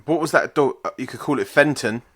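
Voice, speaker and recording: A man's voice: medium pitch, fairly quick, fairly bright tone, breathy edge. A young man co-hosting a podcast, chatting in a laid-back, dry way and swearing casually. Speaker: podcast co-host. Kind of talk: casual banter.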